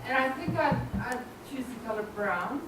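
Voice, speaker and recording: A woman's voice: high voice, expressive, normal speed, noisy background. New Zealand-accented woman, hesitant impromptu answer, far from the mic in a very echoey room. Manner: self-deprecating, hesitant with fillers. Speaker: meeting participant. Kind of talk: impromptu answer at a speaking club.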